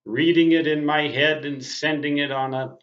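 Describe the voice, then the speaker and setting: A man in his sixties giving a casual, reflective monologue as a veteran radio hobbyist, speaking in a deliberate, halting way with emphatic phrases. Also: on the high side, steady tone, fairly bright tone. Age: 60s. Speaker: veteran amateur radio operator. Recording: muffled audio in a roomy space.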